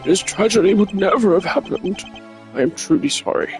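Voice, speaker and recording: Man putting on a high-pitched, imperious older ambassador. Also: normal speed, faint music behind, natural voice. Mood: courteous, regretful. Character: courteous but imperious older male foreign ambassador.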